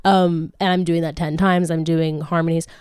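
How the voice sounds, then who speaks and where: A woman's voice: sits low, level tone, normal speed, darkish tone, clean tone, no echo, clean studio sound. A woman in her late twenties hosting a casual podcast, answering listener questions in a frank, chatty way with frequent swearing, laughs and restarts. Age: late 20s. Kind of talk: casual chat.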